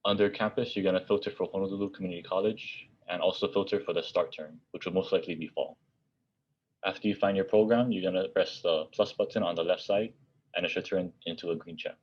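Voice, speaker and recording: A man's voice: low-pitched, fairly even intonation, fast talker, darkish tone, breathy edge. A man presenting for a college outreach office, narrating a scripted tutorial with plain step-by-step instructions. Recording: dull, muffled lo-fi audio.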